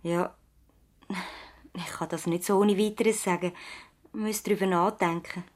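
An actress playing an earnest, thoughtful young practice assistant and loyal niece in a radio play, with a Swiss German accent. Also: female voice, fairly low pitch, fairly even intonation, a little slow, close to an everyday voice. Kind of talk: radio play acting, conversational dialogue.

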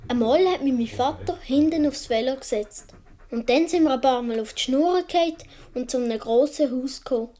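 Woman playing a high-voiced naive Swiss little boy. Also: fairly quick, dark tone, lightly acted. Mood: naive, earnest. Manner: naive, colloquial storytelling. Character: seven- or eight-year-old Swiss boy recalling his childhood. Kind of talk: radio play narration.

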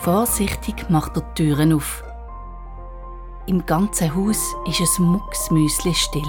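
Female narrator, Swiss German, lowish voice, telling a children's story over clear background music. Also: slow.

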